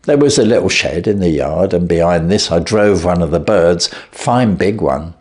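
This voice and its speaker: A man reading aloud and voicing a frightened male thief who begs for mercy and then confesses. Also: moderate pace. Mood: confessing. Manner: shrill, begging, then steady confession. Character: desperate male hotel thief, pleading and frightened. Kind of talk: reading a classic story aloud.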